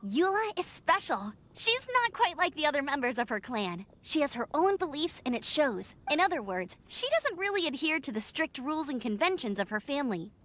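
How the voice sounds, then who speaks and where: Peppy teen knight girl in a game, high bright-eyed delivery, real animated, encouraging. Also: moderate pace, hardly any pauses. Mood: earnest, friendly. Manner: bubbly, encouraging, expressive. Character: perky, friendly, upbeat teenage female knight scout. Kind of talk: scripted video game character acting.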